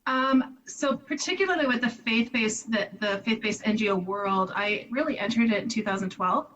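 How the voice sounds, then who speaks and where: A woman's voice: fairly high pitch, normal speed, a bit bright, distant mic, highs cut off. A Canadian woman in her fifties, a charity director, talking reflectively in an interview and laughing easily. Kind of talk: conversational interview.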